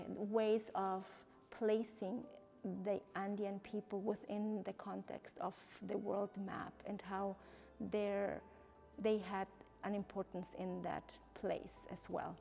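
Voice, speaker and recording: A woman from Ecuador with an Ecuadorian Spanish accent, explaining her exhibition as an artist and professor in measured, hesitant phrasing. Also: mid-range voice, flat delivery, vocal fry, dark tone, faint music behind. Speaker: artist and art professor presenting own exhibition.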